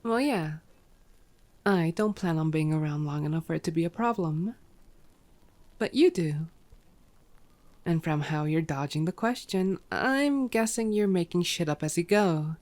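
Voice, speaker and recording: A playful vampire woman, acted out by a female performer in an ASMR audio roleplay. Her voice sits a little on the low side. She is sardonic and flirty, bantering with cocky, teasing hunger. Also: expressive, unhurried, long pauses, a little mellow. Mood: dry grumbling.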